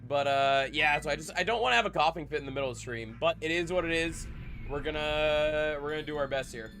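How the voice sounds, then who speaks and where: A man's voice: fairly high pitch, quick pace, fairly bright tone, faint music behind. A young man with a congested voice, chatting casually with his live-stream viewers and rambling with repeats. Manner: rambling, repeats himself, talks to chat. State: congested from a cold.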